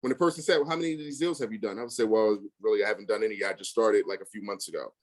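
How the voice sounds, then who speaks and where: A man's voice: on the low side, very fast. Blunt, slangy man telling stories casually. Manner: blunt, slangy, emphatic, retells conversations in quotes. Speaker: real estate investor. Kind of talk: casual conversational storytelling.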